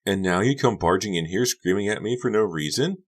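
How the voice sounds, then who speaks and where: A wounded, tearful teenage girl, as a man puts her on, pleading in a whiny, wide-eyed tone. Her voice is pushed well up from his low natural register. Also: animated, fairly quick. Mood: hurt. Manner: whiny, pleading, innocent-sounding.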